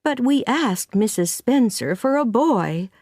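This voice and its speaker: A woman's voice: on the high side, expressive, measured pace, a little mellow, no echo, clean studio sound, pitched above the performer's own voice. A woman voicing a stern, practical middle-aged farm woman who speaks firmly. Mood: surprised.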